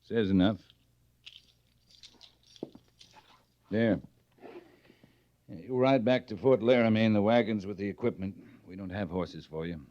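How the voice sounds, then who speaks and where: Brief: male actor as stern frontier army captain, deep, terse, unflappable, close dry sound, dull radio-era recording. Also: normal speed, no room sound, lightly acted. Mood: stern, flat. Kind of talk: old-time radio western acting.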